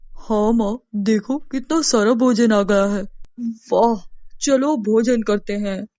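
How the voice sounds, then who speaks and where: A woman's voice: mid-range voice, slow pace, mellow, no room sound, dull audio, natural voice. A woman voicing an eager, poor young village boy in a storybook tale. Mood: delighted. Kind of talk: storybook character acting.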